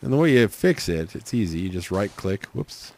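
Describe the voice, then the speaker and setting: A man with a cold, hoarse and raspy, rambling through casual gameplay commentary with lots of fillers. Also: low voice, big pitch swings, normal speed, darkish tone, slight background noise. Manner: rambling, thinking aloud with many fillers. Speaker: let's play host.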